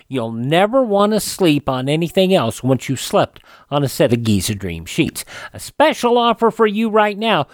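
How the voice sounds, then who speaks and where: A man's voice: sits high, no echo. A male radio host giving a conversational ad read into a close studio mic.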